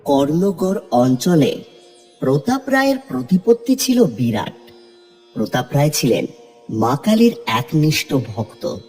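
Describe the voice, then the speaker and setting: A man's voice: on the high side, big pitch swings, a little slow, darkish tone. A man giving a scripted, dramatic narration of a story. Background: music.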